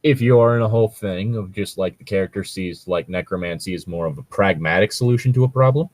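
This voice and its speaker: A man co-hosting a casual commentary chat about gaming stories, talking in a rambling, halting way. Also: deep voice, a little mellow, no echo. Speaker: co-host reading and commenting on tabletop gaming stories.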